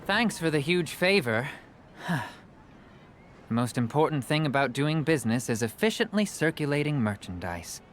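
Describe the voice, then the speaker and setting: A man's voice: fairly high pitch, sing-song, normal speed, slight background noise. An actor playing a genial, chatty merchant with theatrical salesman's patter in a video game. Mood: sheepish, friendly. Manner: theatrical, persuasive storyteller. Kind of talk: video game character dialogue.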